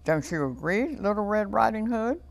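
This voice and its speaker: A woman voicing a sly, smooth-talking male storybook wolf with a coaxing, falsely polite delivery. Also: mid-range voice, lively intonation, normal speed, pitched above the performer's own voice.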